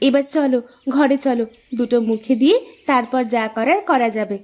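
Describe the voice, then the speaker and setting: A woman's voice: high-pitched, normal speed, a little mellow, natural voice. A woman playing a homely, plainspoken village wife and mother in a children's cartoon story. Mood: welcoming. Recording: faint music under the voice.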